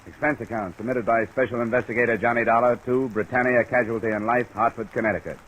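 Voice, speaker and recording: An actor playing a wry, wisecracking American insurance investigator in a 1950s radio detective drama. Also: male voice, medium pitch, fairly even intonation, quick pace, fairly bright tone, muffled recording, lightly acted. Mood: matter-of-fact narration. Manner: dry, wisecracking. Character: wry, brisk male insurance investigator narrating his case. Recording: noisy old radio transcription.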